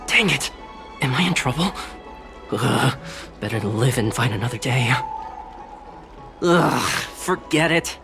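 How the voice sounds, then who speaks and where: A man's voice: mid-range voice, animated, measured pace, vocal fry, long pauses, over music. A man acting a cocky, greedy young shopkeeper in a video game, scoffing and smug with exaggerated exclamations. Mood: grudging. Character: cocky, greedy young male shopkeeper, sneering but cowardly. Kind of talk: video game character dialogue.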